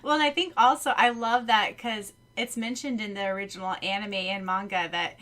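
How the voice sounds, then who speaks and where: A woman co-hosting a casual podcast chat, laughing often and gasping with excitement. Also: medium pitch, fairly quick. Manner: laughs often, gasps and exclaims. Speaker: podcast co-host.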